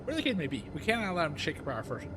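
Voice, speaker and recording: A man streaming a video game, reading its dialogue aloud in a theatrical, expressive way. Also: a little high, very fast, background noise. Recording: loud game music behind voice. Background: loud game music.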